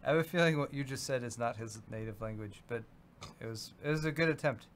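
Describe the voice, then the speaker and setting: Young man, casual co-host, easygoing teasing banter, mid pitch. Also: fast, slight background noise. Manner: easygoing, laughs along, teasing. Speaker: tech show co-host. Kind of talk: casual livestream chat.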